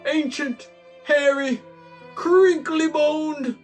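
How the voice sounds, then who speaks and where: A woman's voice: sits high, extremely slow, darkish tone, faint music behind. A woman voicing an eager, imaginative young boy dinosaur in a children's storybook, with a dramatic, exaggerated delivery. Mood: worn out, dramatic. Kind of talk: children's storybook character reading.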